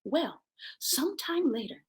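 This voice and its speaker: A woman from Jamaica hosting a self-help show, giving motivational talk and personal stories in an emphatic, expressive way, repeating key words for effect. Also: high-pitched, a little slow.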